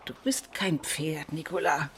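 A woman's voice: low voice, measured pace, a little hiss or hum. An actress playing a steadfast older village woman in a radio drama, speaking in a firm, blunt and restrained way. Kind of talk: naturalistic German radio drama acting.

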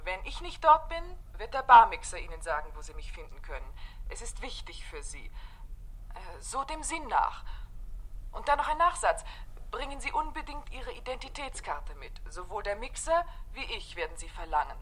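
An actress playing a composed, slightly defensive young wife in a German radio crime drama. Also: female voice, mid-range voice, sing-song, normal speed, fairly bright tone, slight background noise, rough recording. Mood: defensive, explaining.

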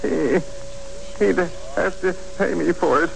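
A man's voice: sits high, gravelly, heavily acted, rough recording. An actor playing a dazed older man dying of a stab wound, rambling in broken, gasping phrases in a 1940s radio drama. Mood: delirious, weak. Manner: halting, broken, gasping delivery. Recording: old 1940s radio recording, faint music.

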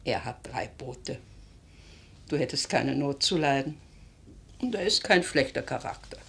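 An actress playing an anxious, loving middle-aged mother in a radio play, soft, pleading and tender. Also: extremely low pitch, expressive, a little slow, gravelly, a little hiss or hum, exaggerated voice. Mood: worried, coaxing. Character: anxious, loving, careworn mother of a poor family. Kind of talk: German radio play acting.

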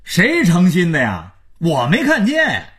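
A man voicing a sharp-tongued neighbor's son who argues and needles in a raised voice. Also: fairly high pitch, wildly swooping pitch, normal speed, voice pushed up. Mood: combative. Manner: shouting back, needling.

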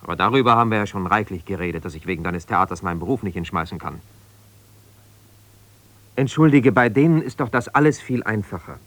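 An ambitious, embittered husband in a naturalistic radio drama. His male voice sits fairly low and is recorded dry, with little room around it. He speaks colloquially, with the exasperated, self-justifying manner of a lawyer who feels wronged. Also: expressive, a little fast, fairly bright tone, dry recording. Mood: indignant, defensive. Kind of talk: naturalistic radio play, one side of a phone call.

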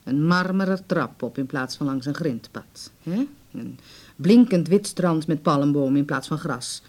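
A husky, anxious middle-aged mother. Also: sits low, expressive, unhurried, darkish tone. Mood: teasing. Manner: hesitant, sighing, pleading. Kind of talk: naturalistic Dutch radio play acting.

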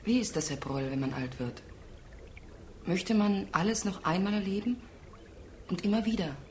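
A woman's voice: mid-range voice, moderate pace, darkish tone, a little hiss or hum, close to an everyday voice. An actress in a radio drama playing a weary young woman with a plain, direct way of talking. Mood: questioning. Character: weary young woman living with her boyfriend.

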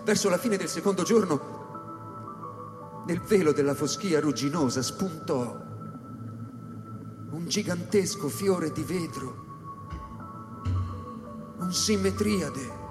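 An actor playing a reflective male scientist who narrates a science-fiction radio drama in measured, deliberate phrases. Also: a little high, lively intonation. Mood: intense, heightened. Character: reflective male scientist recounting life on space station. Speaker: actor narrating as the story's protagonist. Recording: echoey hall reverb under live electronic music.

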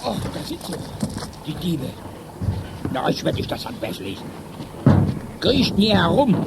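A grumpy old watchman in a children's audio drama. He mutters and exclaims in a slow delivery with big swings of pitch. Loud music and effects sit behind him. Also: gravelly, heavily acted. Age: older. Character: grumpy old man guarding a criminal gang's barge. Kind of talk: German children's radio play acting.

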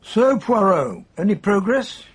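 An actor playing a brisk, inquisitive investigator conferring with a detective colleague in a radio drama. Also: male voice, sits high, very animated, a little hiss or hum. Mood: keen, musing.

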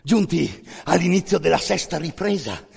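A man narrating a radio theatre monologue, intense and incantatory, with repeated words and dramatic pauses. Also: fairly high pitch, big pitch swings, gravelly, slightly rough. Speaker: actor narrating a stage monologue. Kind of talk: dramatic second-person storytelling, radio theatre. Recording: long reverberant hall sound.

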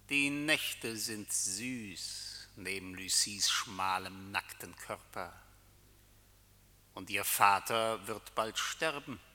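A man's voice: medium pitch, sharp tone. An actor playing the voice of Death in a German radio drama, a sardonic, insinuating and seductive male tempter. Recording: long reverberant hall.

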